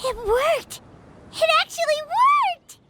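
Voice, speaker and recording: A woman voicing a tiny, childlike, eager and inquisitive fairy companion in an anime game, with a squeaky voice. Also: slow pace, heavy background noise, exaggerated voice. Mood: excited. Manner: excitable, inquisitive. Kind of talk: English-dubbed anime game cutscene acting. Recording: music behind the voice.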